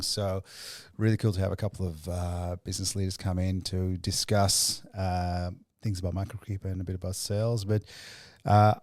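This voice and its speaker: A man hosting an interview podcast casually, with informal, halting questions that circle before they land. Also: lowish voice, dark tone, dry recording, clean studio sound. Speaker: podcast host.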